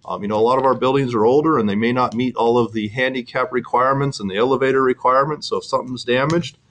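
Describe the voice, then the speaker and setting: A middle-aged man walks through insurance points in a webinar at a mid pitch. He explains earnestly and often restarts his sentences with "um". It is a steady, plain presentation voice. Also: quick pace.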